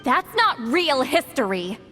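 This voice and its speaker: A proud shaman from a video game, with a fairly high voice and animated swings in pitch. She is sardonic and ready for a fight, over faint music. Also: takes it slowly, exaggerated voice. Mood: defiant, menacing. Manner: sardonic, confrontational. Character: sharp-tongued, proud, long-lived female shaman, quick to threaten.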